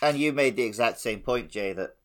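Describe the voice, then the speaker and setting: A man's voice: medium pitch, fairly quick, fairly bright tone. A man co-hosting a gaming podcast, chatting casually with a cheeky, playful manner. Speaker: podcast host. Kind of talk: casual chat.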